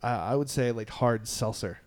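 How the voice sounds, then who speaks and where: A man's voice: mid-range voice, moderate pace. A man co-hosting a podcast in casual chat, cracking wry jokes and asides. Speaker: podcast co-host.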